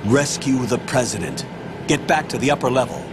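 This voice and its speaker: Man acting as older military commander, stern, unyielding, businesslike briefing, mid-pitched, game drama, loud ambient noise. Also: animated, normal speed, close to an everyday voice. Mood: sober, businesslike. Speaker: mission commander.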